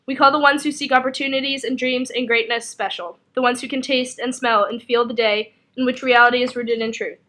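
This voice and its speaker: A woman with a fairly high voice recites spoken word poetry. Her delivery is dramatic and emphatic, shaping each phrase deliberately in a slam style. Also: steady tone, pushed and bright.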